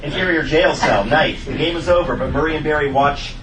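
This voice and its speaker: A man's voice: on the high side, brisk, a loud crowd. A man reading scripted stage directions as narrator at a comedy table read. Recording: echoey room, distant mic, loud noise.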